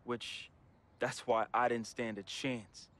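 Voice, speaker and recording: A man's voice: mid-range voice, level tone, takes it slowly, fairly bright tone. An actor playing a troubled young man in a game, reading a confessional farewell letter as a heartfelt voice-over with casual slang. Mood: somber. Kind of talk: emotional voice-over monologue. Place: studio voice-over.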